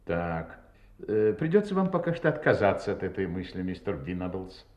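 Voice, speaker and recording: Audio drama inspector: polite but insistent, animated pitch, heard in a roomy space. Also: male voice. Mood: pointed, insistent. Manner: polite, deliberate, pointed. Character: courteous, methodical, insistent male police inspector. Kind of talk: audio drama acting, interrogation.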